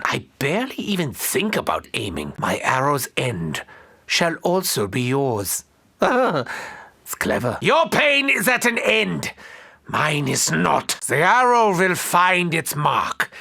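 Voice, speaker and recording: A man's voice: a little high, big pitch swings, slow, creaky, bright, forceful tone, dry recording, studio quality, heavily acted. A male actor playing a sinister, scheming German doctor in a video game, with precise, formal and theatrical delivery. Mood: menacing.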